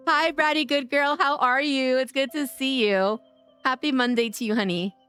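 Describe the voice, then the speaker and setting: Hoarse, playful woman streamer. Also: high voice, measured pace, fairly bright tone, faint music behind. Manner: playful, chatty, greeting chat by name. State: hoarse from streaming days in a row. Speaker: livestreamer. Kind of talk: casual game-stream chat.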